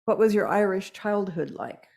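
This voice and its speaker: A woman moderating an author panel, putting questions to the guests in a measured way. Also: mid-range voice, creaky, darkish tone.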